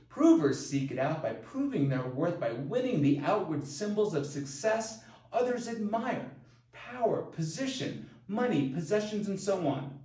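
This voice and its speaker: A man reading his own self-help audiobook in scripted narration, with deliberate, emphatic phrasing and pauses. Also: high voice, darkish tone. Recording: roomy echo, distant from mic.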